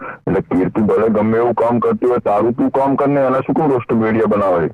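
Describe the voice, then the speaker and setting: A man's voice: medium pitch, fast. A man from Gujarat in a heated phone argument, speaking bluntly and coarsely, insistent and threatening. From Gujarat, India. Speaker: caller demanding videos be deleted. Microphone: phone call. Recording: dull, lo-fi phone call recording.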